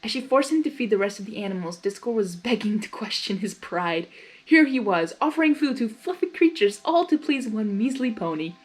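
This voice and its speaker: A woman reading fan fiction aloud as expressive narration, with casual chatty asides. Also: medium pitch, brisk.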